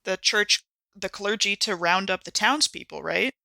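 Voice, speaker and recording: A man's voice: high-pitched, moderate pace, creaky, pushed and bright, no room sound. A man roleplaying in a tabletop game, with formal wording and hesitant repeats. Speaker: player in an actual-play podcast.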